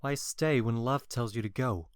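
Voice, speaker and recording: A man's voice: medium pitch, normal speed, clear, resonant tone, no room sound, natural voice. A man playing a bewitched young lover in a modern-English Shakespeare audio drama, earnest and blunt in his declarations. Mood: cold, infatuated.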